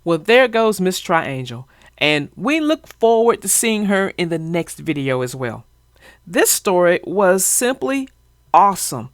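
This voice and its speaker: A woman hosting a children's story discussion, talking conversationally in an expressive, emphatic way. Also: on the low side, a little slow, no echo, clean studio sound. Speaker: host of a children's story channel.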